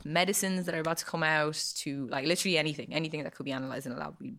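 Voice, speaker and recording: A young Irish woman with a low voice and a bright, forceful edge. She talks in a chatty, casual way, with plenty of fillers and easy laughter. She is a guest in a relaxed interview. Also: very fast. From Ireland. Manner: chatty, lots of likes and ums, laughs easily.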